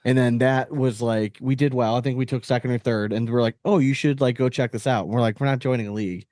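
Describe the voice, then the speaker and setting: A man's voice: mid-range voice, steady tone, quick pace, a little mellow, dry recording, studio quality. A man co-hosting a podcast in casual banter, swearing freely and airing blunt opinions. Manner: profane, opinionated. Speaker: podcast co-host.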